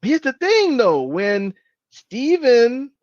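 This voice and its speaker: A man on a book club panel, talking in an animated way with halting restarts and playful retellings. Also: very high voice, no room sound. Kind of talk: casual panel discussion. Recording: dull, dry lo-fi sound.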